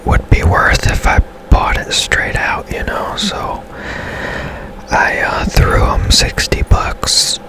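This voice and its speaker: Slow, whispering ASMR man. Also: over music, poor audio. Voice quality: breathy, unvoiced whisper. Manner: unhurried, hushed, casual musing. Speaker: game streamer, host. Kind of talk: whispered ASMR gameplay commentary.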